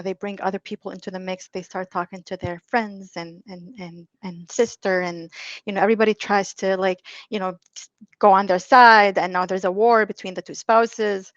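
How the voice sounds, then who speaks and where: A middle-aged woman from Lebanon speaks as a guest, feeling her way through her answers with restarts and fillers. Her voice comes through a somewhat muffled, dry call line. Her pitch sits at an ordinary middle level. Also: no echo. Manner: halting, many restarts and fillers. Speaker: guest, divorce mediator. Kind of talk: casual interview talk.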